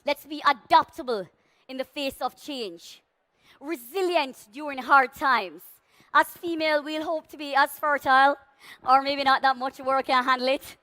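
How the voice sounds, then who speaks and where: Bright, high Jamaican woman on stage. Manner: big, passionate voice, leads audience call-and-response. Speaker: conference speaker. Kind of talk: motivational stage talk. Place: large conference hall stage.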